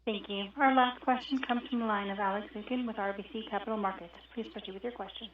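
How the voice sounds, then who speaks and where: Female call operator, fast, higher-pitched, scripted announcements, tinny line, heavy noise. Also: fairly even intonation, distant mic. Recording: very noisy, tinny, echoey phone line.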